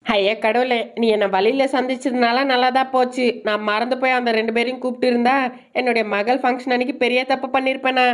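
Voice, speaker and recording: A woman voicing a chatty, excitable mother crow in a cartoon, talking in a colloquial way. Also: a little high, a little fast, close to an everyday voice. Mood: taken aback. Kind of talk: Tamil moral-story cartoon dub.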